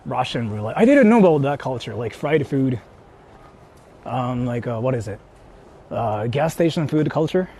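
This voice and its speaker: A man's voice: medium pitch, lively intonation, moderate pace, dark tone, slightly rough. A man from Japan with a Japanese accent, streaming casually and rambling informally and bluntly as he answers viewers. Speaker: live streamer. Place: outdoors on a city street. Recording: noisy outdoor street, traffic ambience. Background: urban ambience and vehicles.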